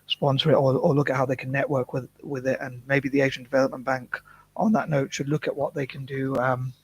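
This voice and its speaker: A man talking in a rambling, self-conscious vlog monologue, from the UK, monotone and full of restarts and fillers. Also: mid-range voice, a little fast, husky. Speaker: vlogger.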